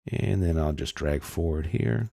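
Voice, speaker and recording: A man giving an informal step-by-step software tutorial, explaining casually as he thinks aloud. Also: sits low, a little slow, gravelly, no room sound, clean studio sound.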